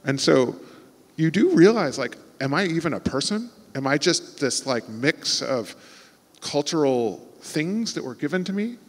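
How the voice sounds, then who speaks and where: A man's voice: fairly high pitch, sing-song, unhurried. A man from Texas with an American accent, speaking as an author on a festival panel in a thoughtful, rambling way full of restarts. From Texas, United States. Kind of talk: conversational panel talk. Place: large festival venue before an audience. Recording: long hall reverb.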